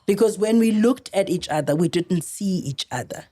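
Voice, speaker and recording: A middle-aged South African woman telling a story as a podcast guest, earnest and halting, often restarting her sentences. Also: mid-range voice, mellow. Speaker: actress guest.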